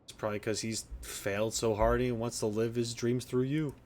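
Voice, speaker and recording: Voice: medium pitch, flat delivery, brisk, darkish tone. A streamer giving casual, reactive game commentary in a blunt, sarcastic way, swearing and talking back at the characters. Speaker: gaming streamer.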